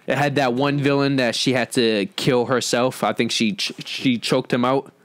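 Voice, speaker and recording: A man's voice: mid-range voice, steady tone, moderate pace, fairly bright tone, dry recording. A man hosting a podcast, giving his opinions in casual, slangy discussion. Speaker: podcast host.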